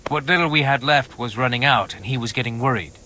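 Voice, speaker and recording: A man's voice: mid-range voice, fast, bright, forceful tone, a little hiss or hum, close to an everyday voice. A man playing a grateful, chummy army quartermaster in a medieval video game. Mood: grateful, pleased. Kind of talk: video game dialogue acting.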